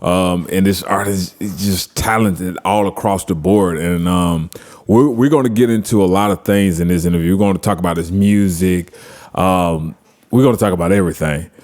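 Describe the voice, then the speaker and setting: A man's voice: low-pitched, normal speed, darkish tone. An American man hosting a casual interview, enthusiastic and full of fillers. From United States. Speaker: interview host.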